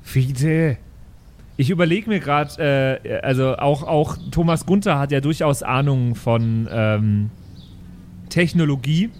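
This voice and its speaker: A man's voice: mid-range voice, slow, darkish tone, a little hiss or hum, lightly acted. A man playing a flustered, suspicious schoolteacher in an improvised audio role-play, hesitant and colloquial. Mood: puzzled. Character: earnest, flustered, suspicious male schoolteacher amateur sleuth.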